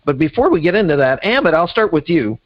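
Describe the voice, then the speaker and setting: A man's voice: on the high side, sing-song, quick pace, lo-fi sound. A man hosting a conversational interview show, putting questions to his guests. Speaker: podcast host.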